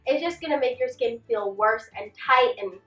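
Young female vlogger, high bright voice, stuffy with a cold, slight lisp, chatty delivery, roomy room sound from a few feet off the mic. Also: normal speed, far from the mic, soft background music. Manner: chatty, gestures a lot while seated. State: congested from a cold. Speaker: beauty vlogger. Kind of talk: casual tips vlog. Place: small bedroom set with clothes rack.

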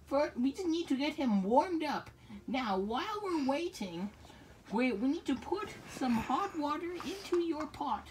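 A very high-pitched elderly grandma bear , acted out in a homemade puppet skit, hosts a cooking show at an unhurried pace. She is cheerfully muddled and halting. The home recording has clear background noise. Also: very animated, darkish tone. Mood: cheerfully instructive. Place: small bedroom, indoors. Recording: noisy home recording with clicks.

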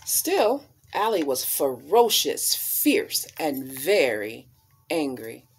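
A woman in her thirties reading aloud to children as a storyteller, with deliberate, dramatic emphasis on key words. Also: low voice, very animated, a little hiss or hum. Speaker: storyteller reading a picture book. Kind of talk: expressive read-aloud for children. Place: small living room, indoors.

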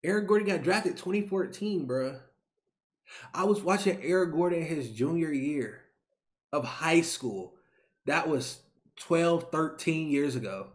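A man in his twenties, a YouTube creator, talking to camera in an animated, slangy rant, repeating himself, from a small bedroom on a webcam mic. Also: on the high side, a little slow. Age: 20s. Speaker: YouTube sports reaction creator. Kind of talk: excited casual rant to camera.

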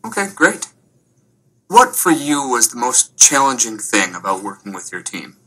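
A man conducting a course interview, asking polite, measured, scripted questions. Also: mid-range voice, very animated, bright, forceful tone, poor audio. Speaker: interviewer, communication course instructor.

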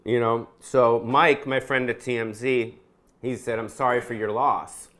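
A man's voice: medium pitch, steady tone, a little slow, a bit bright. A man in his fifties hosting a casual chat, playful and teasing, laughing often. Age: 50s. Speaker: host. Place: home kitchen, indoors.

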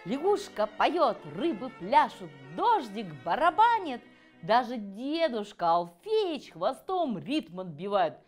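Animated, unhurried woman storyteller. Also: fairly high pitch, soft background music. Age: middle-aged. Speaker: author reading her own fairy tale. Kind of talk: expressive children's storytelling. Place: furnished room with armchair and fireplace, indoors.

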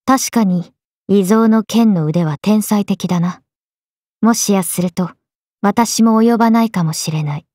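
A woman's voice: medium pitch, a little slow, dark tone, no room sound, clean studio sound, natural voice. A woman voicing a young swordswoman character in a video game. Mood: calm, blunt. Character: swordswomen: a lively young one and her stoic alter self.

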